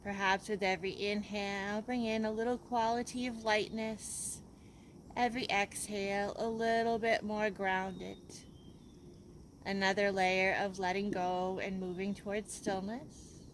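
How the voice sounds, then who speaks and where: A woman yoga teacher leading a guided relaxation in a gentle, unhurried, soothing way, leaving pauses between instructions. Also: medium pitch, little pitch movement, a little hiss or hum.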